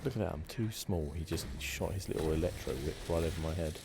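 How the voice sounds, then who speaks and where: A man giving relaxed, playful ASMR gameplay commentary, rambling a little hesitantly. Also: very deep voice, fairly quick. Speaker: gaming video host. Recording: game noise behind the commentary. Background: fantasy video game sounds.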